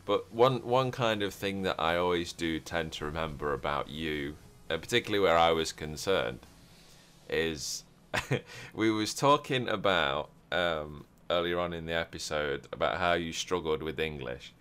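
Super deep voiced guy rambling on a podcast, lots of ums. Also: measured pace, fairly bright tone, smooth. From Europe. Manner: rambling, many fillers and restarts. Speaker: podcast host. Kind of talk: casual podcast chat.

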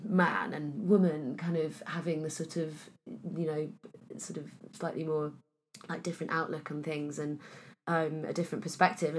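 A woman in her thirties from Wales, an actress chatting casually in an interview, her voice a bit husky and hoarse today, with many fillers and restarts. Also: sits low. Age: 30s.

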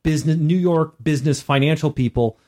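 A man's voice: on the high side, brisk, dark tone. A man co-hosting a podcast, chatting casually and giving opinions in a blunt, emphatic, informal manner. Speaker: podcast co-host.

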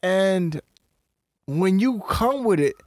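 A man's voice: sits high, lively intonation, slow, no echo. A man co-hosting a casual podcast, blunt and profane, often cutting in to make his point. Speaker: podcast co-host. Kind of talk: casual chat.

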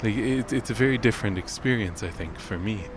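A man's voice: fairly low pitch, a little fast, background noise. A man making a casual audio diary as a field recordist, hesitant and self-deprecating in how he talks. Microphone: handheld microphone.

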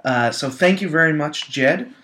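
A man's voice: a little high, lively intonation, moderate pace, sharp tone. A young man co-hosting a casual chat show, rambling and self-deprecating, laughing often as he talks. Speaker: podcast co-host.

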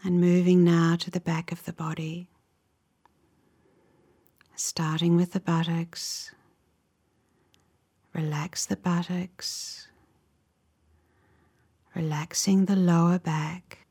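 A woman guides a relaxation meditation with a soothing, gentle manner. Her voice is fairly low and breathy, often close to a whisper. She takes her time, delivering each short instruction slowly and evenly. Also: flat delivery.

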